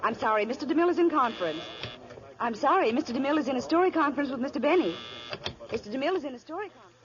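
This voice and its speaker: Rapid-fire, high-voiced office secretary. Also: female voice, expressive, crowd noise. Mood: brisk, polite. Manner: clipped, repetitive telephone routine. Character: brisk, polite office secretary woman. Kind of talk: 1930s-40s radio comedy acting. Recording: muffled vintage radio broadcast.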